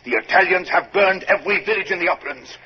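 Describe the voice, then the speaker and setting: An actor playing an embittered, vengeful Spanish army colonel, grave and formal, in a 1950s radio drama. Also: male voice, sits high, fast talker, breathy edge. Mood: bitter, grieving. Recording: muffled radio recording, faint noise.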